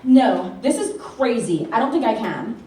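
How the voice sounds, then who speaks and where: A sassy, flustered flight attendant, played by a woman with a high, very lively voice. She is sarcastic and exasperated, performing a radio play in an echoey hall. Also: unhurried. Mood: wry, flustered. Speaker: amateur stage voice actor. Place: large indoor hall before an audience. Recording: echoey hall, far mic, faint noise.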